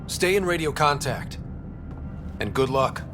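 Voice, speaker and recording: An actor playing a practical, steady squad soldier in a video game, plain-spoken and businesslike. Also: male voice, on the high side, measured pace, music in the background.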